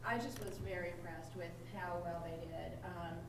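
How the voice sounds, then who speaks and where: A woman's voice: lowish voice, steady tone, normal speed. A woman giving informal, chatty remarks at a school board meeting, with many ums and restarts. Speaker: community nonprofit representative. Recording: echoey room, distant mic, noisy.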